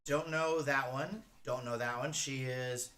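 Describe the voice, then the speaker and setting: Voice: mid-range voice, steady tone, unhurried, fairly bright tone. A streamer giving casual reaction commentary, rambling and thinking aloud with a fan's enthusiasm.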